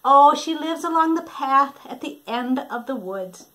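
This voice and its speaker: A woman's voice: high-pitched, animated, a little slow, pushed and bright, pitched above the performer's own voice, exaggerated voice. A woman voicing a cheerful, eager little girl in a storybook reading. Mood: chirpy. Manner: eager, chirpy.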